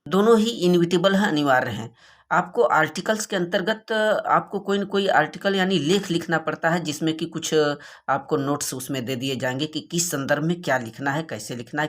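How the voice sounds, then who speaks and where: A man's voice: on the high side, a bit bright. A man with a North Indian accent, a native Hindi speaker, giving an explanatory exam lesson as a teacher, didactic and working phrase by phrase. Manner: didactic, reads English slowly phrase by phrase, then explains. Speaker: English teacher for board exam students.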